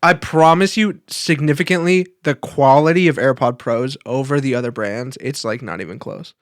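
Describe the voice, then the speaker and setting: A man's voice: mid-range voice, normal speed, no echo, studio quality. A young man co-hosting a podcast in casual banter, playfully insistent and repeating his points. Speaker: podcast co-host.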